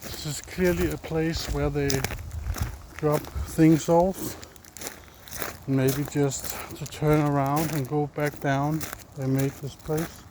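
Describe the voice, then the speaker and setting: A man's voice: on the high side, level tone, slow pace. A man from Denmark with a Danish accent, speaking English as a second language, casually vlogging as he walks a forest trail, thinking aloud and sometimes searching for words. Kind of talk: casual walking vlog. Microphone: handheld phone. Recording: outdoor phone recording with clear background noise.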